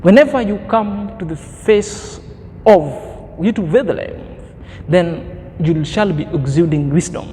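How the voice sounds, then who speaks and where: A man's voice: sits high, lively intonation, measured pace, warm tone, long reverb tail, a little hiss or hum. A man in his thirties giving an opinion commentary as a vlogger, preachy and emphatic, fond of grand words. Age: 30s.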